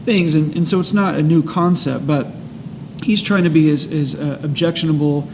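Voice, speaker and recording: A man in a casual discussion of a book, reading passages and reflecting in a halting way. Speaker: host of a recovery book study. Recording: clear background noise with low rumble.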